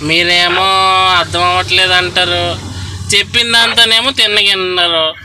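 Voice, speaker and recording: A man with a very sharp, bright voice works through a mock sales pitch on a call. He sits high in pitch, halts often and stays polite but insistent, over faint noise and clicks. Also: steady tone, normal speed, rough recording. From Godavari districts, Andhra Pradesh, India. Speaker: prank caller posing as hospital telemarketer.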